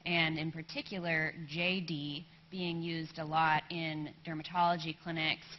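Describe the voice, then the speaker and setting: A woman in the audience giving a halting comment with many fillers. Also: deep voice, flat delivery. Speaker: audience member. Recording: muffled hall audio with faint noise.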